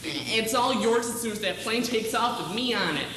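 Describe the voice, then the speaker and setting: Student as a jittery bumbling piano tuner, stuttering, boomy noisy school hall from afar. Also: female voice, lively intonation, normal speed, a bit bright. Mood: nervous. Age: teens. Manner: stammering, anxious. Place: high school stage. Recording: echoey school auditorium from far off, noisy.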